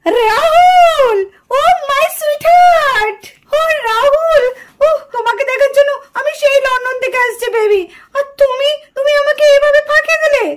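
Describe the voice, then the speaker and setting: A woman's voice: very high voice, a little slow. A woman playing a vain, whiny young city woman in a puppet cartoon, gushing and pouting in an affected way with bits of English. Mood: pouty, gushing. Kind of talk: puppet cartoon drama acting.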